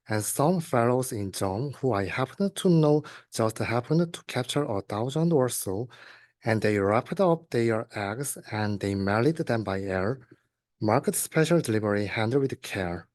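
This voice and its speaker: Young man reading, medium pitch, dry sound. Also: darkish tone, dry recording. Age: young adult. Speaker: student reader. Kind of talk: read-aloud of a rhyming children's storybook.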